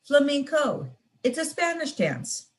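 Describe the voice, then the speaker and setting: A woman's voice: high-pitched, big pitch swings, unhurried, pitched above the performer's own voice. A woman voicing a stern storybook mother. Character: stern mother, secretive former dancer.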